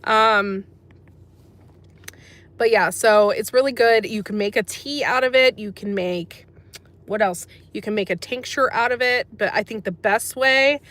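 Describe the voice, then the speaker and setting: A chatty woman from America hosts a casual livestream, talking in an everyday middle-pitched voice. She keeps reading out and answering chat messages between her talk, and laughs often. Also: measured pace, fairly bright tone. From USA. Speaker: livestream host.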